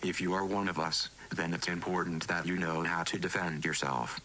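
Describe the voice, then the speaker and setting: A man playing a wary, earnest underground resistance broadcaster, reading scripted analog horror narration in a deliberate, cryptic way. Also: low-pitched, fairly even intonation, natural voice. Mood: guarded warning. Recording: faint hiss and noise.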